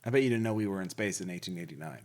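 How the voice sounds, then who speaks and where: A man's voice: low-pitched, rapid-fire, a little mellow. A man hosting a casual chat about gaming magazines, with wry, jokey asides and some swearing. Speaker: podcast host.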